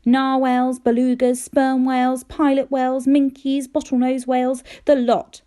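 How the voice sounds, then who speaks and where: A woman reading a children's storybook aloud in an expressive, careful storytelling manner, with dramatic emphasis. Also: high-pitched, a little slow. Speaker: teacher reading aloud to pupils.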